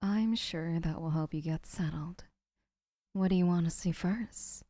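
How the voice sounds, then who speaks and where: A woman playing a doting, obsessive young woman who keeps the listener, speaking in a soft, whispery, sweetly possessive way in an audio roleplay. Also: on the low side, a little slow, no echo, studio quality.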